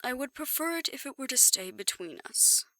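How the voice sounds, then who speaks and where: A woman acting in an audio roleplay as a composed confidante, measured and gently admonishing. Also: high-pitched, expressive, dry recording, heavily acted. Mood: wry, cautioning.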